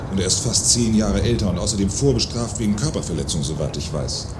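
Actor playing a low-voiced disapproving gossip. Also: lively intonation, quick pace. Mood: reproachful. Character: disapproving gossip, insistent and moralizing. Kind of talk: satirical radio play dialogue. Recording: echoey with clear ambient noise.

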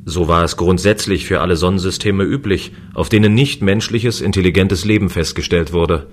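A man's voice: low voice, moderate pace, a little hiss or hum, lightly acted. A man playing a lonely, easygoing space station guard, narrating and speaking in a scripted sci-fi radio play. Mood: calm, reflective. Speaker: voice actor and first-person narrator.

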